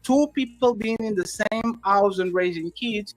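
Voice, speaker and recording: A man with a very high voice argues in a live debate. He stammers, repeats himself and cuts in. The sound is dry and close. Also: measured pace, no echo, faint music behind, rough recording. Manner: stammering, interrupting, profane. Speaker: debate panelist.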